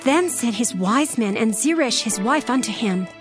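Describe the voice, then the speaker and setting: A woman narrating a dramatized scripture reading in a measured, formal way. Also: on the high side, animated, soft background music.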